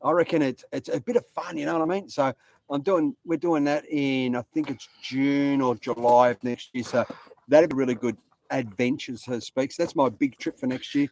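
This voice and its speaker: A man's voice: medium pitch, steady tone, moderate pace, a little mellow, no echo. An Australian man, a guest on a live stream, chatting in a blunt, laid-back way. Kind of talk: casual chat.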